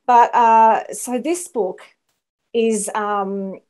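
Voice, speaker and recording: A woman's voice: fairly high pitch, steady tone, extremely slow, darkish tone. A woman hosting a casual author interview, talking in an effusive, gushing manner. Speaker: interviewer, host.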